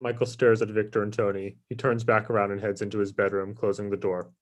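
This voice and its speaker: A man reading stage directions in a flat, matter-of-fact way as scripted narration for a table read. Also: fairly low pitch, fast.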